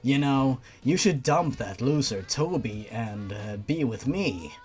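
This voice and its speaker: A narrator voicing a smirking, sleazy male killer who flirts pushily in a slow, suggestive way. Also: medium pitch, lively intonation, a little mellow, breathy edge, soft background music, pitched below the performer's own voice. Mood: sleazy flirting.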